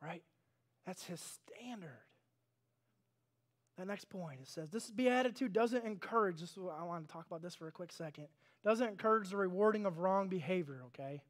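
A pastor in his thirties preaching a sermon, animated, swinging from hushed to loud and calling for responses from the congregation. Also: high voice, normal speed. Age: 30s.

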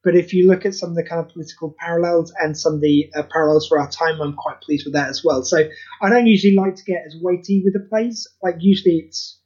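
A man talking as a guest on a podcast about the charity audio play he wrote, candid, self-deprecating and quick to swear. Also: fairly high pitch, darkish tone, slightly rough, highs cut off. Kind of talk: casual podcast interview.

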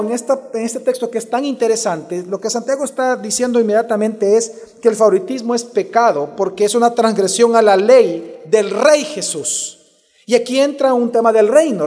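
A Salvadoran pastor with a high-pitched voice delivers a sermon, moving from a personal story to emphatic preaching. He keeps asking his congregation questions and answering them himself. His voice rings out through a reverberant church hall. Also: darkish tone. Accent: Salvadoran Spanish.

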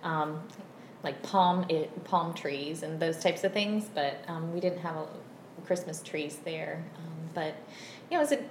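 A woman in her thirties from Virginia, chatting as a podcast guest in a friendly, unhurried way with many ums. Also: sits low. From Virginia, USA. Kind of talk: casual interview chat. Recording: roomy echo, faint background hum.

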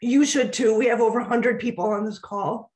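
A woman's voice: on the high side, steady tone, brisk, a little mellow. Woman co-host losing her voice. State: losing her voice, clearing her throat. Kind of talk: webinar discussion.

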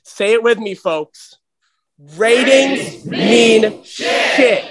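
A man hosting a comedy wrestling show, ranting with heavy profanity and excitement. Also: very high voice, drawn-out words, a loud crowd. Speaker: host.

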